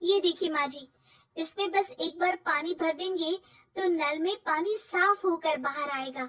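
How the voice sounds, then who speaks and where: A woman's voice: extremely high pitch, unhurried, a bit bright, voice pushed down. A woman voicing a determined young daughter-in-law from the city in a cartoon story, explaining things politely and earnestly.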